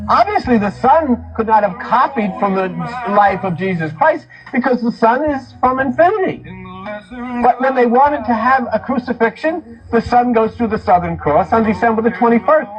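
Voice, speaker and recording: A man's voice: very high voice, expressive, moderate pace, highs cut off. A man giving an impassioned lecture on religion, speaking emphatically and repeating phrases for stress. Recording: speech mixed over music. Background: music.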